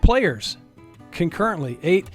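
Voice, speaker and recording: A man presenting a software demo as a developer, talking viewers through it step by step in an informal, folksy way. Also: high-pitched, very animated, slow, soft background music. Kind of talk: casual screen-share tech demo narration.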